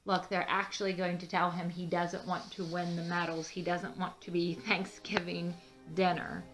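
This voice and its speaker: A woman's voice: lowish voice, flat delivery, moderate pace, music in the background, low-quality recording. A woman narrating a children's storybook expressively as read-aloud host, with teacherly explanatory asides.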